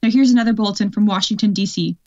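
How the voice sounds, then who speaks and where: A woman's voice: mid-range voice, rapid-fire, a little mellow, lightly acted. A woman playing a composed radio newsreader, reading bulletins formally and matter-of-factly in a radio play. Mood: formal, sober. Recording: dull, band-limited sound.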